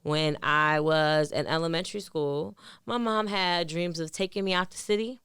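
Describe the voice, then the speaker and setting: A woman's voice: deep voice, steady tone, normal speed, a bit bright, clean tone, no room sound, studio quality. A woman in her thirties from New Jersey with a Jersey accent. She is a therapist who is a guest on a casual podcast, talking loosely with many fillers. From New York City and central New Jersey.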